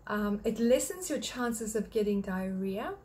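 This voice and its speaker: An older woman from Australia who runs a thyroid clinic, talking straight to a webcam in a small home office and calmly listing health points one by one. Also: mid-range voice, unhurried. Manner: friendly, explanatory, lists points one by one. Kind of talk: direct-to-camera health talk.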